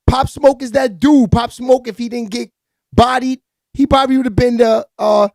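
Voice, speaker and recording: A raspy-voiced man hosting a live entertainment news stream, giving hyped, slangy hip-hop gossip commentary with lots of shout-outs to viewers. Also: extremely high pitch, fairly even intonation, moderate pace, dry recording, clean studio sound.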